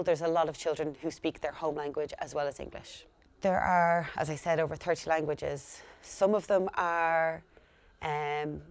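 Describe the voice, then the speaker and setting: A young woman working as a school liaison coordinator, explaining her work in a steady, earnest way. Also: low-pitched. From Ireland. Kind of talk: speaking to camera about her work.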